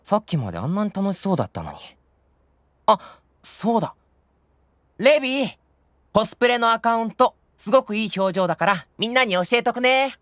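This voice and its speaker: A man's voice: high voice, sing-song, brisk, pauses often, a bit bright, no echo. A man playing a nonchalant, teasing young demon brother in an anime-style audio drama, offhand and bluntly matter-of-fact. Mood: puzzled.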